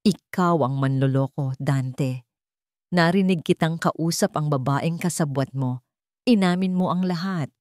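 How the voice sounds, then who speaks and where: A stern old male patriarch, voiced by a woman storyteller, speaking low with calm, firm weight. He is a wounded grandfather in a dramatic audio story, recorded close and dry. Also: unhurried, no room sound. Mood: cold anger.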